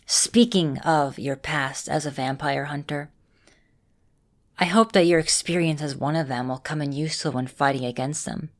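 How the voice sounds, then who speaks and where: A woman voicing a caring, composed vampire mistress and mentor in an ASMR roleplay, speaking directly to the listener in measured, formal phrasing. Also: deep voice, close to an everyday voice. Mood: calm, instructive. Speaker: voice actor in a roleplay audio.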